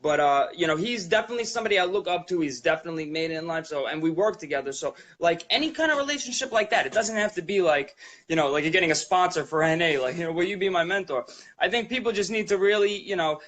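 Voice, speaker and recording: A man's voice: a little high, fairly bright tone. A man hosting an interview show, talking in a rambling, encouraging way with many fillers. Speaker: show host. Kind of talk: casual interview talk.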